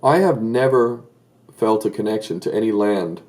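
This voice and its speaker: A man's voice: fairly low pitch, normal speed, a little mellow. A middle-aged man from Southern California giving a solo video commentary, earnest and hesitant, with many fillers and restarts. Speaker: political commentator.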